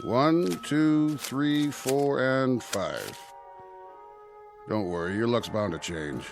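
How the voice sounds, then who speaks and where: An actor playing a polite, shrewd and businesslike pawnbroker in a radio drama. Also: male voice, medium pitch, takes it slowly, over music. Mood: dry, businesslike.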